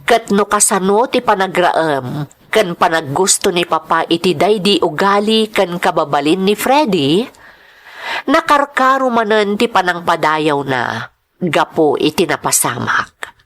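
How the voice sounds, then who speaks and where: A woman's voice: lowish voice, lively intonation, a little slow, fairly bright tone. An actress with an Ilocano accent playing an admiring woman in conversational drama dialogue. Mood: animated, reflective. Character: admiring woman recounting dramatic events to Emmy.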